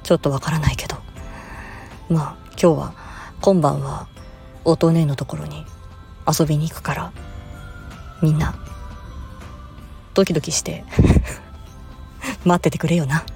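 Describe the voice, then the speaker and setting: A woman's voice: low-pitched, fairly even intonation, measured pace, vocal fry, pauses often, a little mellow, faint music behind. A woman playing a cool young man with casual boyish speech who is secretly flustered about a date. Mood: unsure, teasing.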